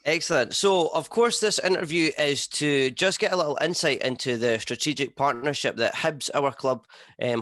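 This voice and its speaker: A man's voice: mid-range voice, fairly bright tone. A man with a Scottish accent hosting a podcast, asking questions in a casual interview style. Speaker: podcast host.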